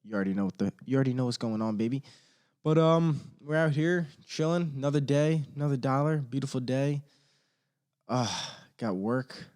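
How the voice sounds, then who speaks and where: Young male podcast host, mid-pitched, casual and rambling, slight hoarseness. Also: moderate pace, a little mellow. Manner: rambling, laid-back, slangy. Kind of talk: casual solo podcast chat. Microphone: studio microphone.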